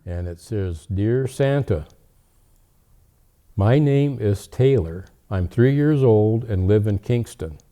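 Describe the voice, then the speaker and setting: A man playing a jolly, gentle old Santa Claus, reading storybooks and chatting in an unhurried, deliberate way. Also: fairly low pitch, animated. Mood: genial. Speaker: storyteller host. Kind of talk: storybook reading and casual chat.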